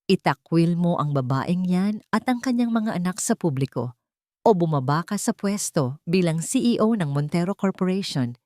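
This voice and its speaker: A woman's voice: fairly low pitch, expressive, moderate pace, darkish tone, dry recording, studio quality, lightly acted. A woman playing an old male board chairman in an audio drama, cold and straight to the point. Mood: cold, stern.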